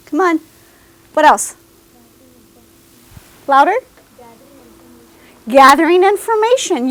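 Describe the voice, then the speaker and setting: A woman's voice: sits high, fairly bright tone, rough recording. A woman giving a career services presentation to a class of students, talking to them directly and often checking in with "okay?". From American South.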